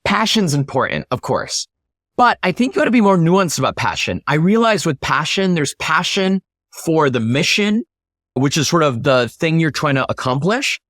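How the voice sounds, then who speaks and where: Guy on a podcast, kinda higher voice, explaining stuff with emphasis and rhetorical questions, really dry sound. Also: very animated, normal speed, fairly bright tone, no echo. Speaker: interview guest, startup founder. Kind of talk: conversational interview explanation.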